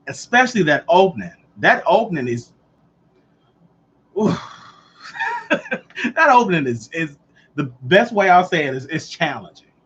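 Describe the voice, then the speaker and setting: A man's voice: on the high side, a bit bright, slightly rough. Chatty thirtysomething man on a lo-fi livestream. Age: 30s. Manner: chatty, reads and answers the live chat. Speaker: livestream host. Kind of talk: casual live chat. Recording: dull lo-fi livestream audio.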